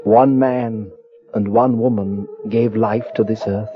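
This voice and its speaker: An actor playing a powerful, lovestruck alien man in old radio drama style, speaking in a formal, measured, declamatory way. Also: lowish voice, fairly even intonation. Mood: lofty, persuasive. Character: grave, ardent alien man with great powers, courting a human woman. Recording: muffled vintage radio recording, music behind.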